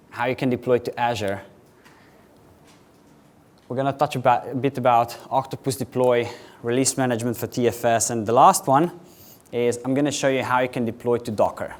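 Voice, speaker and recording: A young man from Slovenia with a Slovenian accent, giving a quick, chatty technical conference talk to a live audience. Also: mid-range voice. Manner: quick, chatty presenter with filler words, addressing a live audience. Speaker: conference speaker.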